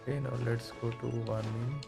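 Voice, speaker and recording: A woman's voice: rumbling low, steady tone, moderate pace, loud music behind the voice. A woman game streamer giving casual live commentary while playing.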